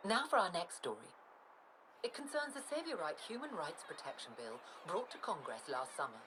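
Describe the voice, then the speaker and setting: An actress playing a composed, polished news presenter reading a formal bulletin in a video game. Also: female voice, fairly low pitch, big pitch swings, normal speed, background noise.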